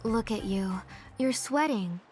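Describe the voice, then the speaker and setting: A caring mother in a video game. Her voice is a bit high, gentle and lightly fussing, with faint music underneath. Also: lively intonation, takes it slowly. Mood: fussing, tender. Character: caring, mildly fussing mother of a young child.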